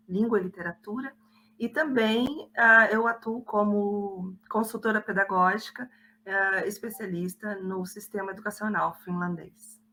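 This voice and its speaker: Woman, rio grande do sul accent, educator, steady and informative, bright tense voice. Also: mid-range voice, a little hiss or hum. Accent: Rio Grande do Sul Portuguese. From southern Brazil. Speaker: guest, educator. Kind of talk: casual panel conversation.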